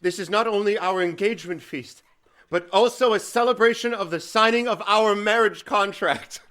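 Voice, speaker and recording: An actor playing an earnest young sea captain, sincere and politely formal, in an audio drama. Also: male voice, high voice, normal speed, sharp tone. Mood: grateful, sincere. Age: young adult. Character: earnest, grateful young sailor captain, Edmond Dantès.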